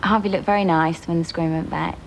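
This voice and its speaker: Woman, contestant, frank, measured, noise behind. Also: fairly low pitch, steady tone, poor audio. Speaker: dating show contestant.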